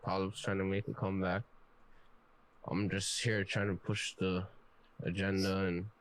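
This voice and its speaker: A man's voice: deep voice, level tone, unhurried. A man in a casual interview, talking in a laid-back way with loose street slang and throwing questions back at the interviewer. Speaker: interview guest in a played clip.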